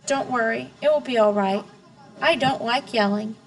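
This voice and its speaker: A woman reading aloud, voicing a timid, gentle four-year-old boy in a soft, pleading way. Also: on the high side, moderate pace, creaky, distant mic, voice pushed up, rough recording. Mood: reassuring.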